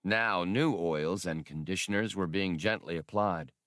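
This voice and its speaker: A man narrates scripted fiction as an audiobook reader. His voice sits on the low side, and the recording is dry with no audible room. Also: measured pace, fairly bright tone, no room sound.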